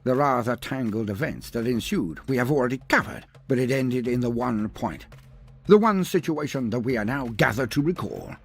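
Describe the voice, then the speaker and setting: A man reading scripted fantasy narration as a storyteller, in a pompous, wordy manner with dramatic pauses. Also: medium pitch, animated, vocal fry, slightly rough, soft background music.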